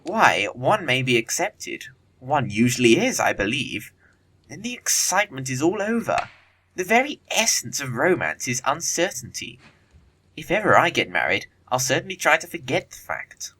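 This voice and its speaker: A man acting a witty, teasing young English gentleman in an audio play of a period comedy, with an arch, theatrical delivery. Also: mid-range voice, very animated, moderate pace, breathy edge, exaggerated voice. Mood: flippant, musing.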